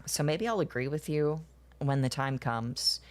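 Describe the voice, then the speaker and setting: A young woman in her twenties with a notably low voice, talking with her live viewers in a loose, rambling way. She swears freely and laughs often as she goes. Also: lively intonation, a little slow, darkish tone. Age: 20s. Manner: rambling, profane, laughs often, answers chat. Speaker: streamer chatting with viewers. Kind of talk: casual chat while drawing.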